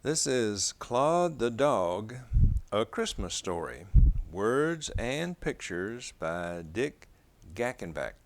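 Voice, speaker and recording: An older grandfather reading a children's picture book aloud. His voice is low and gravelly. He reads slowly and homely, with hesitant little fillers. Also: sing-song.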